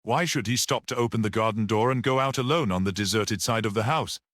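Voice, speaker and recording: A man's voice: on the low side, fairly quick, a bit bright, no echo, studio quality, natural voice. A man voicing an earnest priest-detective in an audiobook, explaining things with rhetorical passion. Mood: reflective, assured. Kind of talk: dramatic audiobook character reading.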